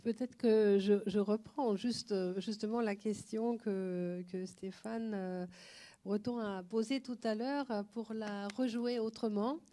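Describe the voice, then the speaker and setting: A woman's voice: mid-range voice, steady tone, slow, mellow. A woman moderating a master class, asking questions hesitantly and slipping between languages. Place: conference centre.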